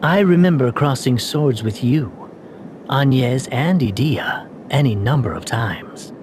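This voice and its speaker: A man playing a troubled, gallant young knight in a video game, earnest and formal, with a husky, breathy, halting delivery. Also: medium pitch, expressive, noisy background. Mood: grave, confessional.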